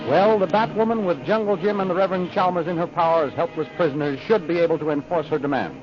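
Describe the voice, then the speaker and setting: High male voice, dramatic vintage radio announcer, declamatory scripted narration, muffled lo-fi sound, music behind. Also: fast talker.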